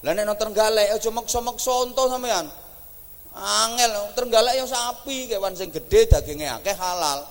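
A man with an East Javanese accent, giving a humorous religious sermon in an animated, teasing way. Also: sits high, normal speed. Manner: joking, animated, teasing the audience. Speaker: preacher. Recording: echoey hall.

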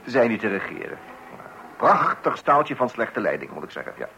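A man's voice: medium pitch, big pitch swings, normal speed, gravelly, sharp tone, a little hiss or hum, full character voice, low-quality recording. An actor playing a pompous, orderly married man with strict old-fashioned views in a radio play, tutting and repeating himself. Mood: pompous disapproval. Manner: pompous, opinionated, with tutting repetitions.

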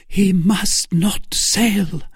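A man voicing a passionate, scheming noblewoman who flatters and pleads fervently in a storybook reading. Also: high voice, extremely slow, slightly rough, no echo, studio quality, pitched above the performer's own voice, full character voice.

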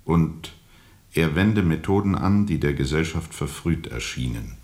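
An actor playing a world-weary steamboat captain who tells of his journey gravely and reflectively, with heavy pauses, in a radio drama. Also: extremely low pitch, expressive, a little mellow. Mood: terse, reflective. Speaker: narrator and lead character.

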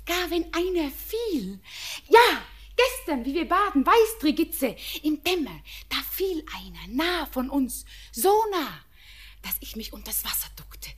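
An actress playing an innocent, tender young village girl in a classic radio drama, speaking lyrical, earnest with hushed phrases. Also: high-pitched, big pitch swings, a little slow. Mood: dreamy wonder. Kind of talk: classic German radio drama, poetic stage diction.

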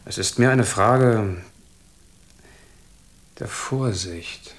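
An actor playing a bewildered, wry, wealthy Englishman in a German radio drama, musing aloud in an animated manner. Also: fairly low pitch, drawn-out words. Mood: puzzled, musing.

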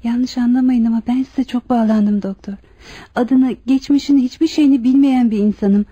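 An actress playing a timid young hospital patient who clings to others, polite and pleading, in a Turkish radio play. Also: female voice, on the high side, fairly even intonation, moderate pace, slightly rough, dry recording. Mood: timid, attached. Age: young adult. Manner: soft-spoken, polite, pleading. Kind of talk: Turkish radio theatre acting. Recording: muffled old radio drama with music. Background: music.

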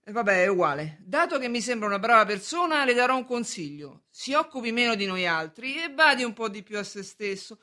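Officious, high-voiced police brigadier, bright and animated. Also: female voice, a little fast, hardly any pauses. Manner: officious, patronising, theatrical delivery. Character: condescending, evasive police brigadier making an arrest. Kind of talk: radio drama stage acting.

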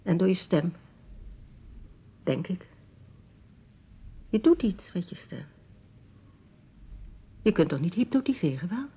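Very breathy, flustered wife. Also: female voice, on the low side, big pitch swings, heavily acted. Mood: wavering. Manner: hesitant, breathy, halting. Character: flustered, wavering married woman, drawn to her seducer. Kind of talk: Dutch radio drama acting. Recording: dull lo-fi radio drama recording.

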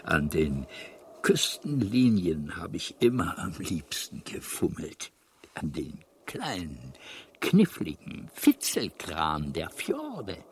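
A man's voice: low-pitched, wildly swooping pitch, slow, a little hiss or hum, heavily acted. A man playing a kindly, absent-minded old alien planet builder in a radio drama, with a hesitant, meandering delivery. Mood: wistful, rambling. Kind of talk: German radio drama acting.

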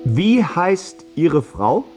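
An actor playing a formal neurologist who questions a patient slowly and deliberately, in a German radio drama. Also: male voice, fairly high pitch, big pitch swings, a little mellow, faint music behind, heavily acted. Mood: formal, probing. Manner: formal, slow, over-clear questioning. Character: Doctor Rasch, brisk clinical neurologist questioning a patient.

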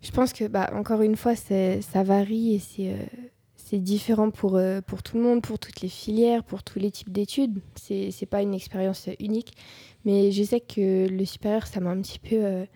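Young woman, mellow warm voice, halting student chatter, close dry mic. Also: medium pitch, level tone, slightly rough, no echo. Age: young adult. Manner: hesitant, many fillers and restarts. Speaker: co-host, student. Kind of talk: casual radio chat.

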